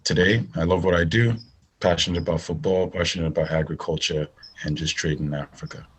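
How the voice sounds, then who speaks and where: A man's voice: low-pitched, steady tone, a little fast, breathy edge. A man with a Nigerian accent answering interview questions conversationally, making points with emphasis and repetition. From northern Nigeria. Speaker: podcast guest, agribusiness managing director.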